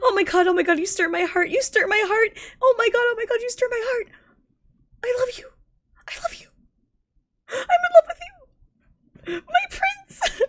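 Gushing woman with an extremely high voice. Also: normal speed, pauses often, no room sound. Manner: gushing, squealing, repeats phrases excitedly. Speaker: reaction video host. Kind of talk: excited live reaction commentary. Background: game trailer soundtrack.

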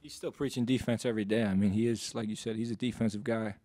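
A young man answering questions as a college basketball player at a postgame press conference, in a laid-back, low-key way, into a table mic. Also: steady tone, fast, dark tone, dry recording. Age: young adult. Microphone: table mic on stand.

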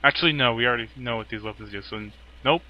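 A man's voice: mid-range voice, rapid-fire, dull audio. A man giving casual let's play commentary as host, with offhand asides to the game. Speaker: gaming video host.

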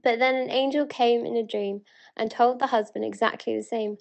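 British female, slightly high voice, as an eager ancient townswoman played by a woman in spoken-word verse; earnest, full of wonder. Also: normal speed, creaky. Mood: awed, earnest. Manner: earnest, excited, pleading.

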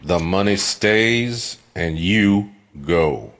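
A man's voice: low-pitched, animated, extremely slow, a bit bright, full character voice. A man playing a brash, threatening card player in a western drama. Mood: menacing, suspicious. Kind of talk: dramatic western film acting demo.

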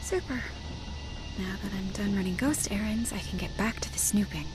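A woman's voice: medium pitch, normal speed, music in the background, natural voice. An actress voicing a blunt, determined young woman investigating a haunted mansion in a video game, wry and muttering to herself. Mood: sarcastic.

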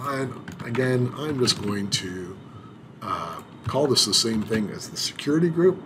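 A man walking through a software setup on screen in an unhurried tutorial, pausing often with fillers and restarting his phrases. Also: mid-range voice, expressive, background noise. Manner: unhurried, hesitant, many filler sounds and restarts. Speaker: IT administrator demonstrating software setup. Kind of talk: screen-recorded tutorial walkthrough.

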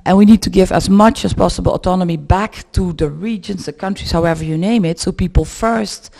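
Middle-aged woman, Dutch accent, warm mellow tone, panel discussion, handheld mic. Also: fairly low pitch, fairly quick, creaky. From Netherlands. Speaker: panel participant. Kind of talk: discussion contribution. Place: medium indoor room with seated audience. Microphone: handheld microphone.

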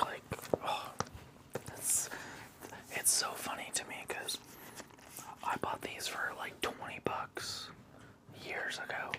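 A man in his thirties whispering casual, enthusiastic fan chat for ASMR, close to the mic in a room at home. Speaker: ASMR video creator showing a collection. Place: small living room indoors.

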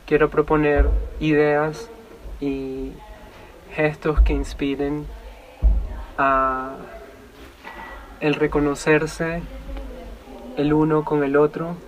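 A young man from Venezuela with a Venezuelan accent, reflecting in a documentary voice-over as a resident artist, slow and measured with frequent pauses. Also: on the high side, little pitch movement, soft background music, rough recording. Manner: slow, measured, pausing often.

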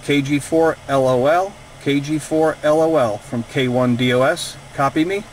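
Guy doing a how-to demo in a car, slightly high voice, faint hum behind him. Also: moderate pace. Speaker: radio hobbyist video host. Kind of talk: instructional product demonstration. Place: inside a vehicle. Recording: steady vehicle and fan noise.

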